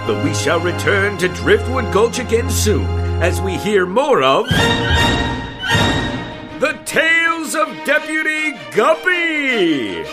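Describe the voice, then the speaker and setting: A man playing a theatrical western serial narrator, grand and showy, in an old-fashioned drawn-out style. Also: high voice, sing-song, exaggerated voice. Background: loud music.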